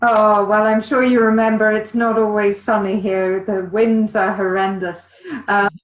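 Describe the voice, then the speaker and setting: A woman's voice: mid-range voice, flat delivery. Woman guest in an echoey room. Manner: measured, orderly, with fillers. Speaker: guest, virtual assistant. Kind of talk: conversational interview answers.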